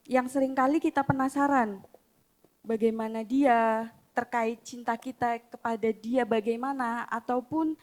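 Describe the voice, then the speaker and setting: Softly spoken young woman reading. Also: fairly high pitch. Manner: measured, softly spoken with filled pauses. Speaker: reader of the opening prologue. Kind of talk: reading a prologue to a live audience.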